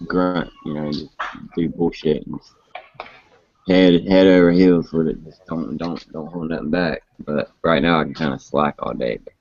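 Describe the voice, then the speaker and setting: A man's voice: extremely low pitch, steady tone, moderate pace, darkish tone. A man in his late twenties chatting casually about motorcycles in a rambling, laid-back way with frequent restarts. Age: 20s. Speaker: host. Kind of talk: casual chat. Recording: muffled lo-fi live stream audio.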